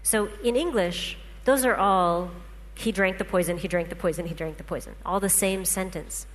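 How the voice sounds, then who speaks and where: A woman's voice: lowish voice, normal speed. A woman, a native Russian speaker, explaining patiently with examples in a talk to a panel. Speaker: speaker presenting research. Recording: hall reverb, faint hum.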